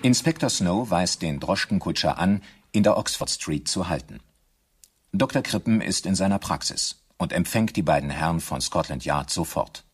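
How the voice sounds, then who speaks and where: A man with a husky voice reading scripted narration for a radio drama. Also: low-pitched.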